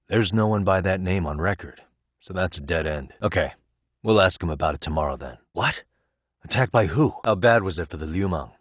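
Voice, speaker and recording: Game detective: adult man, low pitch, close dry sound, composed, wry, questioning delivery. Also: breathy edge, no room sound. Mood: urgent. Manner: conversational, wry, probing. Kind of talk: video game voice acting.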